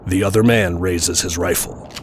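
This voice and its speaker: A man's voice: deep voice, fairly even intonation, normal speed, a little hiss or hum. A man reading scripted narration as the narrator of an audio drama.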